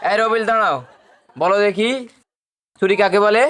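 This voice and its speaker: A woman playing a brisk schoolteacher quizzing pupils in a children's cartoon dub. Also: mid-range voice, lightly acted. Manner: brisk, questioning. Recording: clearly noisy background.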